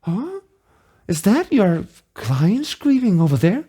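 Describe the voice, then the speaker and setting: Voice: medium pitch, big pitch swings, slow pace, mellow, breathy edge, voice pushed up, full character voice. A performer voicing a composed, encouraging senior woman lawyer from a video game, light and polite.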